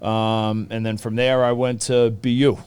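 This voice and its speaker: Clear-voiced man with a Boston accent. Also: fairly low pitch, level tone, a little slow, a little mellow. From Boston, USA. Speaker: teacher and hockey coach, podcast guest. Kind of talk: casual interview chat.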